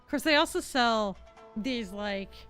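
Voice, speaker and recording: A woman livestreamer chatting casually with viewers over a game, giggly and quick to laugh. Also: a little high, lively intonation, fairly bright tone, faint music behind. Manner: giggly, bubbly, laughs often. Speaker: livestreamer host. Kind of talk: casual chat with live viewers while gaming.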